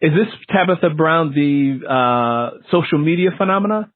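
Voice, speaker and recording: A man's voice: fairly high pitch, animated, moderate pace. A man hosting an interview in a conversational style, often repeating and restating his phrases. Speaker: interview host. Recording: lo-fi phone-like radio sound, muffled.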